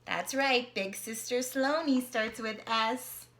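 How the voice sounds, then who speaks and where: A young woman reads an alphabet picture book aloud to her niece, playful and animated, often dropping to a whisper. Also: a little high, slow pace. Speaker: aunt reading to her niece.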